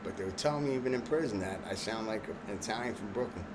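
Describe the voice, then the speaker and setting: A low-voiced man with a Long Island accent spins a loose, rambling story in street slang, peppered with "you know" and stumbles. Traffic and vehicle noise hum clearly behind him. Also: quick pace. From Long Island, New York. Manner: streetwise slang, filler-heavy, restarts, laughs at his own jokes. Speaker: vlogger telling a personal story.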